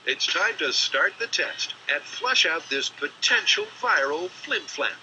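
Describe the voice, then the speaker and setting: Lively male TV narrator over noise. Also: fairly high pitch, a little slow, highs cut off. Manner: wry, punning, dramatic. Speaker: TV show narrator. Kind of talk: scripted documentary voice-over. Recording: TV soundtrack with clear background noise.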